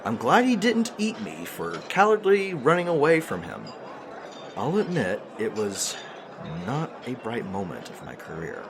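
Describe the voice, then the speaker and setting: A man playing an earnest, slightly cowardly news reporter, narrating a mock documentary with a husky voice and tongue-in-cheek delivery. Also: mid-range voice, huge pitch swings, unhurried, background noise. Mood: rueful.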